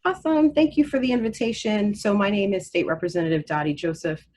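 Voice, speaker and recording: A woman's voice: mid-range voice, fast, darkish tone, slight background noise. A woman from Haiti raised in Miami, a state representative speaking in a candidate forum, confident and assertive. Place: video call.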